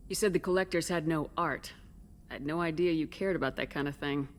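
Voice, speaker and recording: An actor playing a calm military commander in a video game, asking measured, probing questions in a supportive way. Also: mid-range voice, slight background noise, natural voice. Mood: curious, measured. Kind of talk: scripted video game dialogue.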